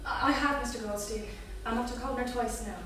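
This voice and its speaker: A woman plays a deferential, sentimental housemaid with a high voice. She chats respectfully from far off in a very echoey hall with faint background noise. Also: fairly even intonation, fast, fairly bright tone, close to an everyday voice. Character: deferential, chatty household maid, soft-hearted. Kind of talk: staged script reading.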